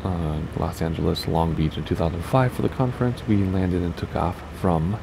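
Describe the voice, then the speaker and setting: A man with a raspy voice, casually talking through a flight simulator session and thinking aloud as he plays. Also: sits low, moderate pace, background noise. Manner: chatty, thinking aloud while playing. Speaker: flight sim streamer. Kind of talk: casual gameplay commentary.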